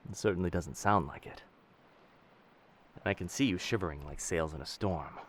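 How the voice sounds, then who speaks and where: A man playing a dangerous but gentle pirate captain in an intimate roleplay audio drama, protective and commanding, with old-fashioned seafaring speech. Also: deep voice, moderate pace. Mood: concerned.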